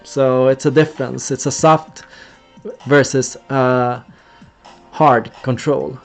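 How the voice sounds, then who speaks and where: A man's voice: mid-range voice, flat delivery, slow, creaky, soft background music. A young man from Sweden, casually explaining on a livestream in an earnest way and acting out gestures as he goes. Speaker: streamer.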